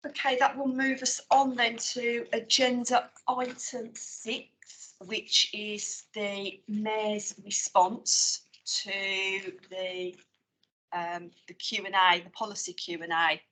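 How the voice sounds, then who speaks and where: A woman with a West Midlands English accent chairing a formal committee meeting, working through the agenda in a measured, procedural way with frequent fillers. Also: medium pitch, flat delivery, pushed and bright, a little hiss or hum, low-quality recording.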